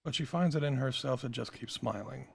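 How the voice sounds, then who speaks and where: A very creaky male voice narrates stage directions at a script read. The tone is rough and husky, with a middle pitch. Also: brisk. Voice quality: gravelly, husky.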